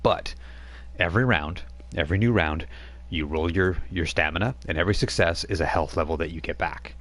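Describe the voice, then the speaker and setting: A man with a very low, deep voice runs a tabletop game. He explains the rules step by step and pauses often with fillers as he talks the players through them. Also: dry recording. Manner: steady, explanatory, halting with fillers. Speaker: game master.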